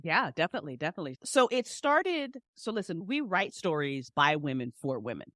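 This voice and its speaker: A woman's voice: deep voice, very animated, a little fast, fairly bright tone, dry recording, clean studio sound. A woman chatting casually as an interview guest, effusive and emphatic, with slangy, repetitive turns of phrase. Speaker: guest, fiction podcast writer and creator. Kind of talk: animated casual interview chat.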